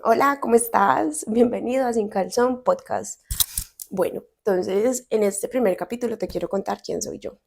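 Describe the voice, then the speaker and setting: Chatty Colombian woman in her thirties, gravelly. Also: fairly high pitch, normal speed, a little mellow. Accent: Medellín Colombian Spanish. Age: 30s. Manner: chatty, candid, uses slang freely. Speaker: podcast host. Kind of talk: casual solo podcast monologue.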